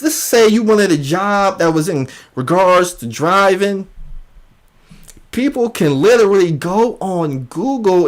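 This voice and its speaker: Slow-paced YouTube commentator for a mocking call-out piece; incredulous, repeats phrases to hammer them home. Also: medium pitch. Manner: emphatic, repetitive, incredulous.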